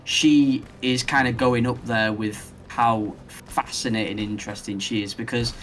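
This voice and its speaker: A man hosting a casual podcast who rambles enthusiastically and thinks out loud as he talks. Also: mid-range voice, steady tone, moderate pace, a little hiss or hum. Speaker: podcast host.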